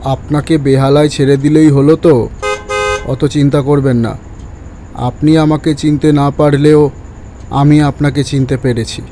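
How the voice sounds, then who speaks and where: A man acts as a mysterious middle-aged taxi driver in an audio ghost story. His voice is dark and level, calm and cryptic, with faint vehicle noise behind it. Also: a little high, unhurried, pauses often, lightly acted. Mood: calm, knowing.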